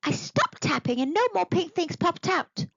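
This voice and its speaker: A woman voicing an eager, anxious young girl in a storybook, with an earnest, childlike, expressive manner. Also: very high-pitched, normal speed, vocal fry, no room sound, muffled recording, voice pushed up, exaggerated voice. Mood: puzzled.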